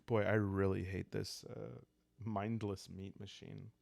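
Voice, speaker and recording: Slow, low-pitched man with a creaky voice. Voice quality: soft, calm. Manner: hesitant, thinking aloud with long pauses and sighs. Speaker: host and player of a solo roleplaying game. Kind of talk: calm unscripted storytelling for sleep, journaling game playthrough.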